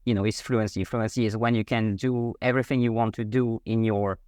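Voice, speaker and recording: A man from France, a native French speaker with a French accent, explains his teaching method as an interview guest in a measured, methodical way. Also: fairly low pitch, fairly even intonation, a little mellow, dry recording, clean studio sound. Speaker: guest, French learning coach.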